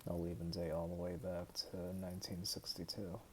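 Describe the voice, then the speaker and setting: A young man in his twenties from the United States, talking hesitantly and softly to the camera at home late at night. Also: sits low, completely flat delivery, slight background noise. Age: 20s. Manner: hesitant, halting and soft-spoken. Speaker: vlogger. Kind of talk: personal talk to camera.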